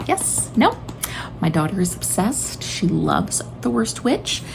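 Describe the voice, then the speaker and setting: A woman's voice: mid-range voice, sing-song, slow, vocal fry, music in the background, rough recording. A woman in her late thirties chatting casually and enthusiastically to viewers as she recommends books, with emphatic, informal delivery. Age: late 30s. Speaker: homeschooling mother and video host.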